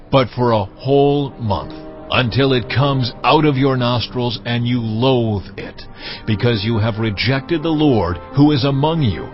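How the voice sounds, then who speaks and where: An actor playing a stern, majestic God in a dramatized audio Bible, with solemn, commanding declamation. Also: male voice, medium pitch. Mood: stern, indignant. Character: God, stern, majestic, authoritative male deity. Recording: dull lo-fi sound under clear music.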